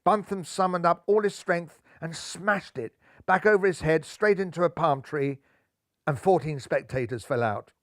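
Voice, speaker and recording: Older guy reading a kids story, theatrical, fairly high voice, dry close sound, lapel mic. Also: normal speed, no echo. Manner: lively, theatrical. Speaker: storybook narrator. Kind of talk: expressive children's story reading. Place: living room, seated in armchair.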